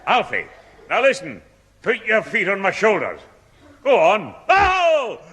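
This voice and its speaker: A man's voice: high-pitched, huge pitch swings, slow pace, a bit bright, heavily acted. An actor playing a scolding, bossy, easily flustered grandfather in a 1960s radio sitcom. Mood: alarmed. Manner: blustering, scolding. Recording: slightly noisy old radio recording.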